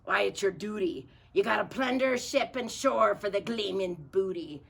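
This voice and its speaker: Woman as a gravelly, blustering captain. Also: mid-range voice, normal speed. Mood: commanding. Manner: shouting, declaiming in pirate slang. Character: blustery, impatient male pirate captain, bossy and demanding. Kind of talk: children's storybook read-aloud.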